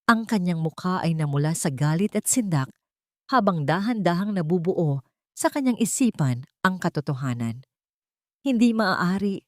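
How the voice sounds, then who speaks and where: A woman with a Filipino accent reads a dramatic story as an audiobook narrator. Her voice sits a little low, and the recording is dry, with no room around it. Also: lively intonation, normal speed, no room sound.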